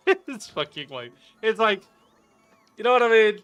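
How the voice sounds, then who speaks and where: A man with a Portuguese accent chats casually with his stream audience. He rambles and chuckles often as he reads and answers the chat. His voice has a bright, forward tone, with soft music playing in the background. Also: sits high, sing-song, slow pace, clean tone. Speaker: game streamer.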